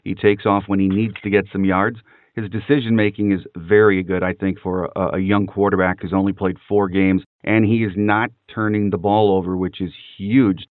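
Male interviewer, slightly deep, conversational sports talk, dry and band-limited like a telephone. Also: little pitch movement, moderate pace, no echo. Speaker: podcast host. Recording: dry, phone-like narrow band.

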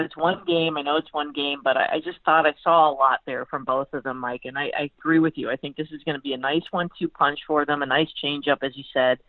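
An American woman talking sports as a guest analyst in a halting, conversational way, often repeating and rephrasing her words. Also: low voice, dry recording. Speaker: sports beat writer, podcast guest. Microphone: phone call. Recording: tinny narrowband phone line.

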